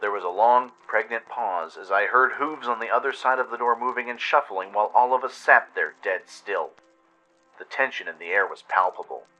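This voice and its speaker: A man's voice: fairly low pitch, normal speed, pushed and bright, dull audio. A man reading scripted first-person story narration for a radio play. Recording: music bed under produced voice-over. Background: music.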